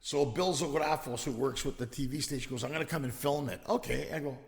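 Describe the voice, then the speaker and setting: Gravelly animated comedian. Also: male voice, mid-range voice, fast, in a large hall. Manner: animated storyteller, swears. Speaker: comedian guest. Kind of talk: casual storytelling chat.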